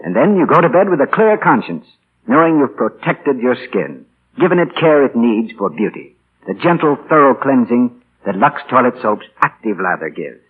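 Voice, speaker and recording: A man's voice: mid-range voice, sing-song, normal speed, slightly rough. A man working as a 1940s radio announcer, delivering chatty, polished scripted sales patter. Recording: scratchy, tinny 1940 radio broadcast.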